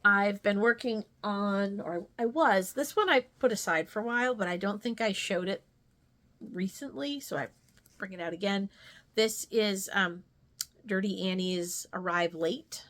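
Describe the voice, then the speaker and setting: A woman's voice: mid-range voice, a little slow, fairly bright tone. A woman chatting casually as a craft vlogger, rambling and thinking aloud as she shows her projects. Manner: rambling, thinking aloud, trailing tag questions. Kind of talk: casual chat, showing stitching projects.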